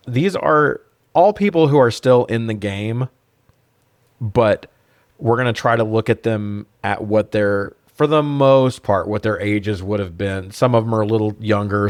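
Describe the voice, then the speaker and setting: Wry man, low-pitched podcast chat. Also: moderate pace, no room sound, clean studio sound. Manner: informal, wry, rambling asides. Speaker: podcast co-host reviewing films. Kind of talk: casual film chat with swearing.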